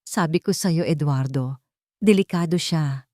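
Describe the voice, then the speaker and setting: A woman's voice: low voice, a little slow, warm tone, dry recording. Scheming male friend played by a woman, insinuating, suspicious, audio romance drama, dry close sound. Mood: cold, urging.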